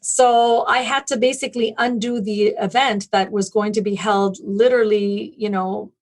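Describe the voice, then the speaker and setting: A woman's voice: mid-range voice, fairly even intonation, normal speed. A woman speaking as an interview guest, recounting things in an articulate, detailed, conversational way. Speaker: interview guest, event planning business owner.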